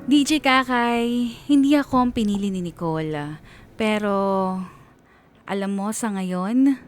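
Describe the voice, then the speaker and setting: A woman with a Filipino accent giving a scripted, dramatic first-person story narration for radio. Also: medium pitch. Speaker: radio DJ reading a listener's story.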